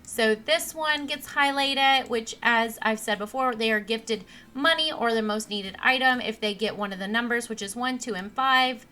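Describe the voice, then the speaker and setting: A young woman with a naturally monotone voice, casually narrating her gameplay with plenty of ums and restarts. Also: fairly high pitch, normal speed, hardly any pauses. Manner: rambling, with many ums and restarts. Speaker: let's play host. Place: home computer setup. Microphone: desk mic replacing a broken headset.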